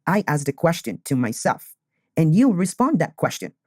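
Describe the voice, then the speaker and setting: A man, teaching beginner English and repeating key phrases slowly. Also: a little high, sing-song, a little mellow, no echo, studio quality. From Colombia. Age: 20s.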